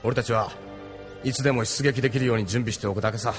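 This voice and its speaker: A male actor as a seasoned, unfussy spaceship captain in an anime radio drama. His medium-pitched voice carries long reverb, with faint music behind it. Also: steady tone, quick pace, natural voice. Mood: grave, resigned. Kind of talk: Japanese anime audio drama acting.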